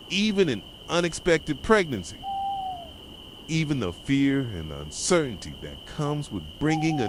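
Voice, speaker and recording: A man playing an obsessive, possessive boyfriend in an ASMR roleplay, speaking softly and intensely straight to his partner. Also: fairly high pitch, background noise. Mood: hopeful, pleading. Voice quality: soft voice. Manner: intense, pleading yet controlling, addressing a partner directly. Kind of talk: ASMR roleplay, dramatic monologue. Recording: nighttime cricket and owl ambience behind. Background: crickets, insects and hooting owls.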